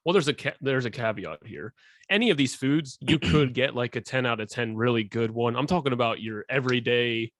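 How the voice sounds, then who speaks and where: A man co-hosting a casual podcast, blunt and opinionated, who often clears his throat. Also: mid-range voice, fairly quick, a bit bright, no room sound. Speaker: podcast co-host.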